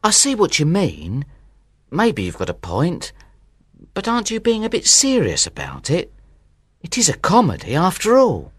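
An easygoing, good-humoured chat partner in a scripted audio dialogue, performed by a woman. The voice is low and husky, with big swings in intonation. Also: wildly swooping pitch, moderate pace, no echo, studio quality, full character voice. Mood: gently challenging.

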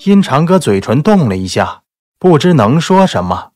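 A man narrating a novel as a scripted audiobook, in a measured, literary storytelling manner. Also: a little high, sing-song, dry recording, clean studio sound. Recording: soft background music under the voice.

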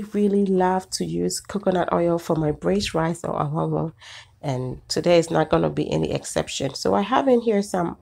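Ghanaian woman with a low, gravelly voice. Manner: warm, chatty, explains each step. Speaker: cooking channel host. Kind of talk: cooking demonstration. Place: outdoor backyard patio at a grill. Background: sizzling pan, birds.

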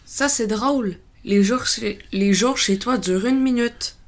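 A woman voicing a gentle, curious little boy prince in a storybook dramatization, earnest and innocent. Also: on the high side, measured pace, close to an everyday voice. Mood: helpful, earnest.

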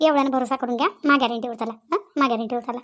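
A woman's voice: fairly high pitch, steady tone, racing, a bit bright, close to an everyday voice. A woman playing a middle-aged, insistent village sister-in-law in a Marathi cartoon comedy, with a Vidarbha accent, coaxing and scolding repetitively. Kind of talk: cartoon family comedy dialogue.

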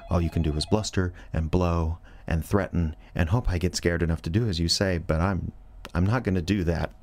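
An actor playing a fumbling, defiant young radio DJ in an audio drama, stammering and sardonic. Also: male voice, sits low, fairly even intonation, a little fast, a little mellow. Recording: clear background noise.

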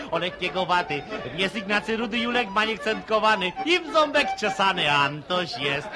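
Edek, cheeky high-voiced singer. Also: male voice. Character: Edek, cheeky young entertainer singing at village dance. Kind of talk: folksy comic singing in radio serial. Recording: radio drama with clear music.

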